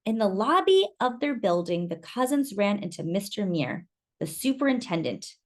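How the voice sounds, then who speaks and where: A woman reading a children's picture book aloud, expressive and animated. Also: mid-range voice, moderate pace. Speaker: storyteller.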